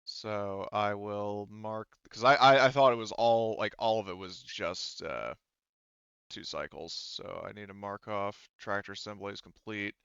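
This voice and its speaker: A low, smooth-voiced man runs a tabletop game as game master. He talks casually and haltingly, thinking aloud, on a dry, slightly dull recording. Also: fairly bright tone, no echo. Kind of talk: casual tabletop game narration and rules talk.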